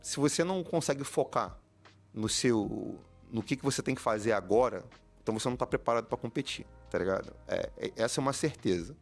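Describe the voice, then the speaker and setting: A man's voice: mid-range voice, a little fast, gravelly, faint music behind. A man from Brazil, a coach, explaining a match to viewers in an informal, slangy way with frequent repeats. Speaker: esports coach.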